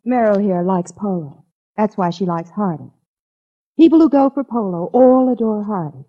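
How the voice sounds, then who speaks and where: A woman's voice: medium pitch, lively intonation, normal speed, natural voice. An actress playing a bold, sardonic young woman in a 1940s radio drama, direct and taunting. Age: young adult. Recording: tinny, narrow 1940s radio recording.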